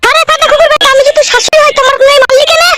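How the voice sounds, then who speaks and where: A woman's voice: very high-pitched, steady tone, racing, heavy background noise. A woman voicing a quarrelsome, foul-mouthed mother-in-law who scolds and taunts in an exaggerated comedy cartoon. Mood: scolding, angry. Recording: loud music behind the voice.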